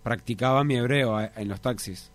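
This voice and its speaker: A Uruguayan man telling anecdotes in a relaxed, hesitant way in casual studio chat. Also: mid-range voice, normal speed. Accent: Uruguayan Spanish. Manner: relaxed storytelling, hesitant with restarts. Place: radio studio. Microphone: studio microphone.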